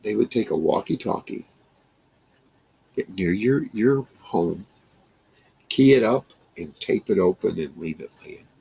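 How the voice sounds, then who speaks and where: A man in his sixties with a gravelly, husky voice, telling rambling, salty stories in a casual monologue to camera. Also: lowish voice, expressive, measured pace, pauses often, darkish tone, poor audio. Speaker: vlogger. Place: small wood-paneled room indoors.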